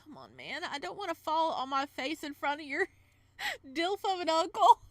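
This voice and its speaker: A woman's voice: very high-pitched, very animated, normal speed, a bit bright, no room sound, pitched above the performer's own voice, full character voice. A woman voicing a self-conscious, exasperated young man, the protagonist of a visual novel, with sarcastic grumbling.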